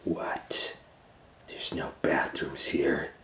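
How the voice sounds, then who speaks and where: A man voicing a grumpy, bossy gorilla schoolteacher from a storybook, gruff and curt, in a roomy space. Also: extremely low pitch, level tone, drawn-out words, a bit bright, whispered, pitched below the performer's own voice, exaggerated voice. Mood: gruff, dismissive. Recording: roomy echo.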